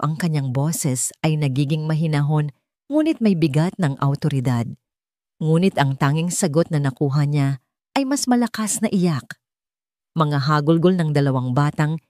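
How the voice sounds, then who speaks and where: A Filipino woman narrates a dramatic audiobook story. Her voice sits low, and the recording is dry and close, with scripted phrasing throughout. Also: mellow, no echo.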